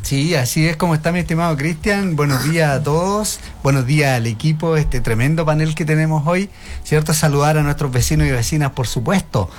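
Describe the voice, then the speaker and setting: A man's voice: fairly high pitch, fairly quick. A man with a Chilean accent, a health department director, greeting listeners on a radio panel in an effusive way.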